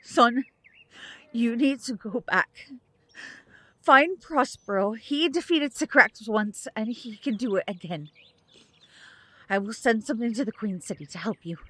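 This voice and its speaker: Magician mother, played by a woman: gentle but firm, bright, slightly high voice, audio drama. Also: measured pace, pauses often, husky, dry recording. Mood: earnest, urgent.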